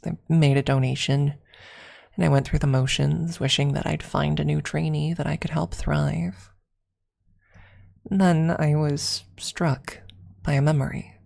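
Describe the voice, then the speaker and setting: A woman with a creaky voice narrating a scripted story in an ASMR style. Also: deep voice, level tone, measured pace, a little mellow. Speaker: streamer and story reader.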